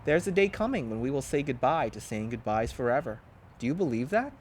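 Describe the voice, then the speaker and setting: A man voicing a calm, gentle male teacher in a children's storybook reading, speaking with steady assurance. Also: mid-range voice, brisk, a little hiss or hum, lightly acted.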